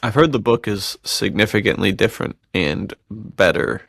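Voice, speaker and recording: A man with a fairly low voice and a lot of gravelly fry, recorded close and dry. He talks like a podcast co-host in loose, slangy, buddy-to-buddy chat. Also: expressive, moderate pace, fairly bright tone, no echo. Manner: bro-ish slangy talk.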